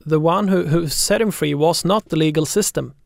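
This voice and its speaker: A man's voice: on the high side, moderate pace, creaky, no room sound, studio quality. A Swedish-accented man, an investigative reporter, giving an account in an interview.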